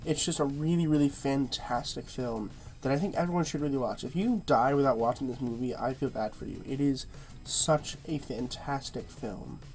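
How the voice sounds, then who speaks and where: A man's voice: medium pitch, moderate pace, vocal fry, a little mellow. A man with a stuffy, nasal-sounding nose giving a casual movie review, rambling and padding his speech with fillers and repeated words.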